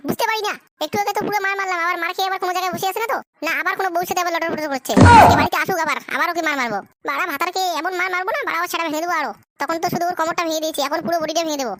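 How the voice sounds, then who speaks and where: Bossy cartoon wife, tiny pitched-up voice, rapid-fire foul-mouthed scolding, very dry sound. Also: fairly bright tone. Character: bossy, violent middle-aged village wife, suspicious and scolding. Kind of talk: amateur comic cartoon dubbing.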